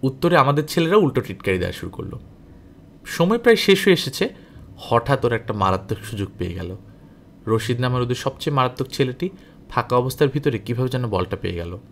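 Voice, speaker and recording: A man reading a novel aloud as an audiobook narrator, in an expressive, dramatic storytelling style. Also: medium pitch, fast talker, slight background noise.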